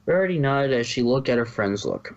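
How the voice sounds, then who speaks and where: A male narrator reads fan fiction in a medium-pitched voice. He follows a script, occasionally tripping on words, and the recording is a bit dull and lo-fi. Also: animated, a little fast. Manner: stumbles over words and corrects himself.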